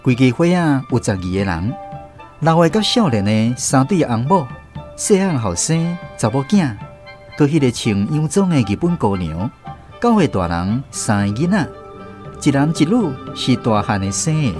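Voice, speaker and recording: A man's voice: mid-range voice, sing-song, normal speed, darkish tone, soft background music, natural voice. A man playing a storyteller who recalls his naive country boyhood, narrating a radio drama with a Taiwanese accent. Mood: fond, descriptive.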